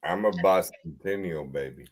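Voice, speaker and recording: A man's voice: low voice, normal speed. A man in his forties chatting casually, swearing and stammering between laughs. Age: 40s. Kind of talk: casual chat.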